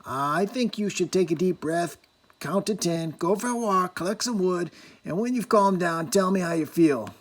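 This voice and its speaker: The storybook reader voicing a grumpy little male dwarf who talks slowly and gruffly. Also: medium pitch, expressive, fairly bright tone, heavily acted. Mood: calm. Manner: gruff, slow and sulky.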